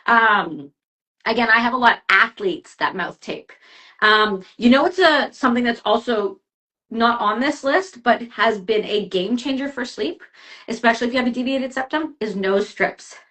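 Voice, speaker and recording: A woman working as a health coach, giving advice in a chatty podcast conversation with quick asides. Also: fairly high pitch, pushed and bright. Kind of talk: casual podcast chat.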